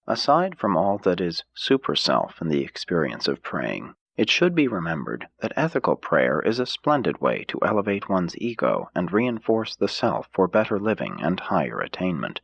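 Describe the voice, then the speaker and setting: A man reading a scripted audiobook in a formal, measured way. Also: low voice, huge pitch swings, gravelly, slightly rough, no echo, dull audio. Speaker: audiobook narrator.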